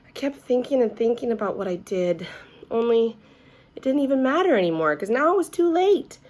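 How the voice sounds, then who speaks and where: A woman's voice: fairly high pitch, big pitch swings, brisk, a little mellow. A woman reading a children's story aloud expressively, as if to young listeners. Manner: addresses young listeners with questions.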